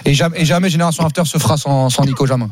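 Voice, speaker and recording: A man's voice: fairly high pitch, racing, noisy background. A man chatting casually as a sports radio pundit, emphatic and often repeating his phrases.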